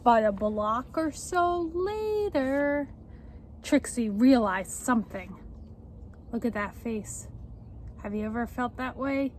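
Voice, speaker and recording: A young woman reading a children's book aloud in a deliberate, expressive way, explaining and asking the listeners questions. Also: a little high. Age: young adult. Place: outdoors on a front step by a house door. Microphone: camera at a short distance. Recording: faint outdoor street noise.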